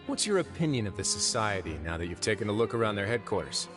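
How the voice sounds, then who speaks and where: A calm, wry warden. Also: male voice, lowish voice. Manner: calm, measured, quietly wry. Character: composed adult male prison warden, wry and calculating. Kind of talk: video game voice acting. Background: faint game music.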